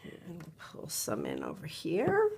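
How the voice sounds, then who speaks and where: Slow, chatty woman with a slight cold. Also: sits low, huge pitch swings, vocal fry, darkish tone, husky. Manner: chatty, musing aloud, repeats words to herself. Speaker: craft video host. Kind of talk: relaxed crafting tutorial narration. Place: indoor craft desk. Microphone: overhead camera above a desk, hands only.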